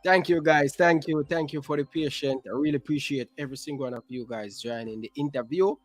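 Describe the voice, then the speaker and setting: A man's voice: a little high, fairly even intonation, fairly quick, dry recording, faint music behind. A Jamaican man hosting a casual online interview, hyping up his viewers with patois phrases.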